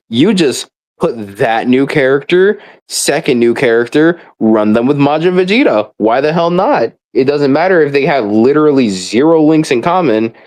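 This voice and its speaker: A man's voice: mid-range voice, darkish tone. A man rambling through a casual, profane rant as a frustrated gamer, speaking in an exasperated and emphatic way. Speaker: gamer ranting about a mobile game.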